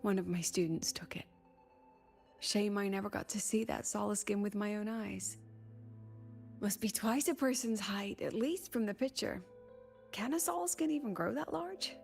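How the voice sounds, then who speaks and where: A woman playing a composed, wry academy teacher in a video game, speaking softly and breathily with measured, coy pauses. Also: mid-range voice, vocal fry, soft background music, natural voice. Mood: wistful, musing.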